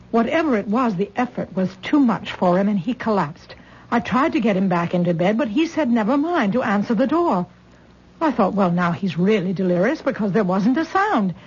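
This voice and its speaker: Husky, fussing mother-in-law. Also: mid-range voice, darkish tone. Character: anxious, firm mother-in-law nursing a sick man. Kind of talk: old-time radio drama acting. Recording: muffled old radio recording.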